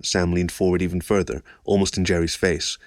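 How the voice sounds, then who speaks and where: A man's voice: sits low, little pitch movement, a little fast, no room sound, clean studio sound. A man reading a scripted story as an audiobook narrator.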